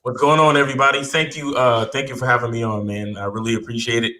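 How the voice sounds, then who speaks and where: A middle-aged man, born in Jamaica and raised in Brooklyn, talking as a guest in a relaxed, humble way with many fillers and restarts. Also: mid-range voice, quick pace, roomy sound. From born in Jamaica, raised in Brooklyn, New York. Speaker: podcast guest, dating coach. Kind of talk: casual interview chat.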